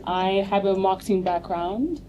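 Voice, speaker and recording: A woman from Nigeria introducing herself on a panel as a content creator, telling her story in a relaxed, personal way. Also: medium pitch, unhurried, lo-fi sound, crowd noise. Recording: clear background noise on a live panel mic.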